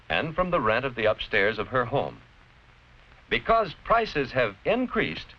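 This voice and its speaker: An American man giving scripted educational narration in a deliberate, clearly explanatory, didactic manner. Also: medium pitch. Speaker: narrator-presenter of an educational film. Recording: dull, noisy vintage educational film soundtrack.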